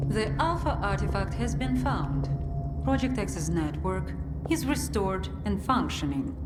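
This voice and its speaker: An actress playing a composed, authoritative woman in command in a video game cutscene, measured and diplomatic. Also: medium pitch. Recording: long reverberant hall, music behind.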